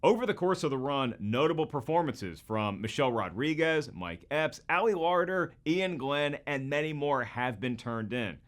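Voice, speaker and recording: A man's voice: medium pitch, lively intonation, moderate pace, hardly any pauses. A man presenting scripted comparison commentary, opinionated and playfully irreverent. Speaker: video presenter.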